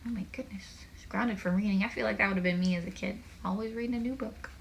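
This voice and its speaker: A woman reading a children's book aloud as a teacher, in an expressive, animated way with chatty asides to young listeners. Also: medium pitch, quick pace, creaky.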